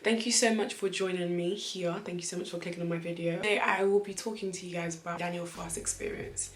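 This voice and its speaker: Animated young woman, slightly croaky, echoey room. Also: fairly low pitch, quick pace, slight background noise. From Nigeria. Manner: animated, laughs often, repeats phrases for emphasis. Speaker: vlogger. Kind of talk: casual chatty vlog talk.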